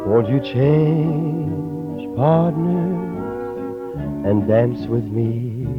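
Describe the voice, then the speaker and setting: A male crooner sings in a 1930s style at a very slow pace. Loud dance band music with brass plays around him. The old radio recording sounds dull and limited. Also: a little high. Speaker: singer. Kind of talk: 1930s crooning ballad singing.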